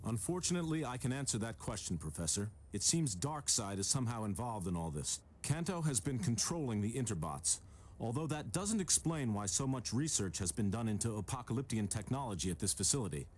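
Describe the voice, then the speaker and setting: Man playing Superman, an earnest, expressive hero. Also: medium pitch, fairly quick, slight background noise, natural voice. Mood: serious. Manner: earnest, steady authority. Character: Superman, earnest resolute adult male superhero. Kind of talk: video game cutscene acting.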